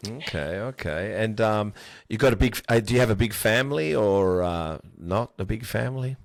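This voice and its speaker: A man hosting a podcast, asking questions and backing up his guest with many quick yeahs. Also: fairly low pitch, expressive, gravelly, a little hiss or hum. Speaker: podcast host. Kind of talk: casual interview chat.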